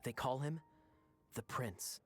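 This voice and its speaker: Suave, sly young knight captain. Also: male voice, medium pitch, faint music behind. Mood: coy.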